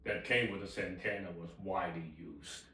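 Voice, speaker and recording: A man's voice: deep voice, moderate pace, sharp tone. An older man chatting casually as co-host, speaking in short, agreeable remarks. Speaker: co-host of an audio hobby show. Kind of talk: casual chat. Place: indoor room with table and blinds. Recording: very echoey room, distant mic.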